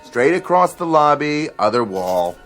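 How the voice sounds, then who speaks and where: An actor playing a gruff, impatient bus depot ticket clerk in a radio drama, curt and sardonic. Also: male voice, fairly high pitch, sing-song, a little slow, a bit bright, soft background music. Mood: irritated, sarcastic.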